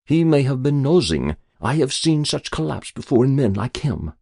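A man voicing a grave senior doctor with guttural speech and a measured, condescending manner in a narrated story. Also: medium pitch, very animated, gravelly, dark tone, dry recording, clean studio sound, pitched above the performer's own voice.

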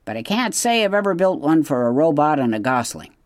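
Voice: medium pitch, very animated, brisk, no room sound, clean studio sound, pitched above the performer's own voice, close to an everyday voice. A reader voicing a stubborn, grumbling male beaver and father who mutters and hums while he thinks. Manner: gruff, muttering, hesitant hmms.

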